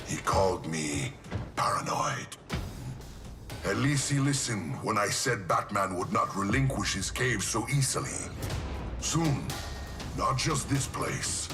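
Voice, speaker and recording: An actor playing a menacing, scheming supervillain in a video game cutscene, gloating in a sinister, partly hushed way. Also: male voice, on the low side. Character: menacing, scheming, vengeful adult male supervillain. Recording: music behind the voice.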